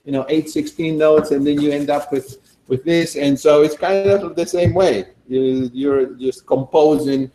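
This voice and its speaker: A man from Argentina, demonstrating a recipe in a casual, enthusiastic way while thinking out loud. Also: a little high, unhurried, low-quality recording. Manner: chatty and enthusiastic, thinking aloud with fillers. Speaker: cooking demonstrator.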